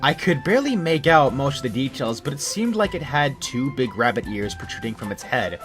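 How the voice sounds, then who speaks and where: A man's voice: mid-range voice, very animated, fairly quick, fairly bright tone. A man reading a scripted first-person creepypasta story as its narrator. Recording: music under the narration.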